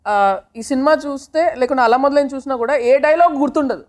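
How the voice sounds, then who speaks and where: A woman's voice: sits high, quick pace, a little mellow. A woman talking casually in an interview, chatty and emphatic. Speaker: film director.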